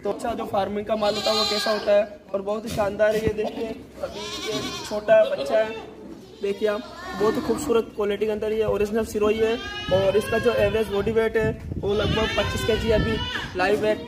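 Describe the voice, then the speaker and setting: A man's voice: high voice, completely flat delivery, a little fast, very noisy. A young man from Rajasthan giving a chatty, repetitive sales walkthrough of his goat farm, recorded on a phone outdoors. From Rajasthan, India. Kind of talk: casual walkthrough sales pitch. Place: outdoor goat pens and sandy shed. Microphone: phone camera held close. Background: bleating goats.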